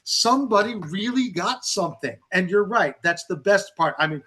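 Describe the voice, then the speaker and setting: A man speaking as a panelist on a video call, animated and effusive, often repeating himself. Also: high-pitched, normal speed, slightly rough. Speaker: panelist tour director. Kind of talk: casual webinar chat.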